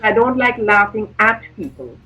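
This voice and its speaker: A woman's voice: medium pitch, animated, normal speed, sharp tone, slightly rough, poor audio. An older woman from Guyana, reminiscing as an interview guest in a wistful, trailing way.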